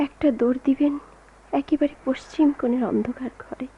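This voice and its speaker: A woman's voice: sits high, fairly even intonation, brisk, husky. An actress playing a sorrowful, anxious young woman in a radio drama, with a plaintive, dramatic delivery. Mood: melancholy. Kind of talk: Bengali radio drama acting. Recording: dull, noisy old radio drama recording.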